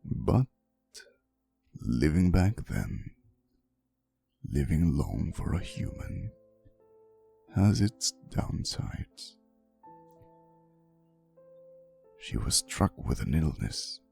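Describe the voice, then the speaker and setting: A man playing a centuries-old romantic vampire boyfriend in an intimate ASMR roleplay monologue, speaking softly and hesitantly, teasing and wistful with faint menace. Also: rumbling low, very animated, gravelly, exaggerated voice. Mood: wistful, tender. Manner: soft, slow, hesitant storytelling with teasing asides. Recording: soft music behind the voice. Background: quiet instrumental music.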